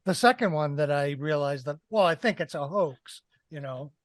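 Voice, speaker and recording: A man's voice: a little high, normal speed, no echo, clean studio sound. A man in his sixties on a headset mic, casually analysing a film as a panelist in an online discussion. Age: 60s. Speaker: film review podcast panelist. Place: indoors, on a video call.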